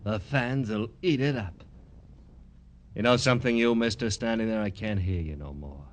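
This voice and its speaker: An actor playing a vain, dim, flamboyant wrestler, brash and slangy, in old American radio drama style. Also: male voice, lowish voice, moderate pace, slight background noise, natural voice. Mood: dismissive, vain. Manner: brash, self-admiring, slangy. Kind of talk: 1950s American radio crime drama acting.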